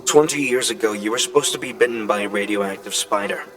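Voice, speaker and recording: A man playing an earnest inventor and leader in a comic dub, delivering a grave, measured, pleading message. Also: on the low side, fairly bright tone, natural voice. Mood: earnest, urgent. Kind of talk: dramatic comic dub acting. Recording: voice over background music. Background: music.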